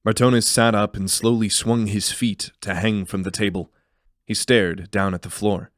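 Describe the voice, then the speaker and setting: Male narrator for fantasy audio drama: lowish voice, measured storytelling, close dry sound. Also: steady tone, dry recording.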